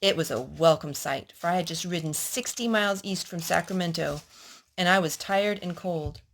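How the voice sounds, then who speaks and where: A woman's voice: fairly low pitch, normal speed, vocal fry, a little hiss or hum. A woman hosting a children's storytime, reading picture books aloud and asking little prompting questions in a child-directed way.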